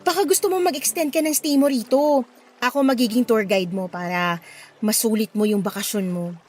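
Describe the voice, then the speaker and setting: An actress with a Filipino accent playing a friendly, playful young local woman in a radio drama, lively and coaxing. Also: a little high, brisk, natural voice. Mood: cheerful, inviting. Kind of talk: Tagalog radio drama acting.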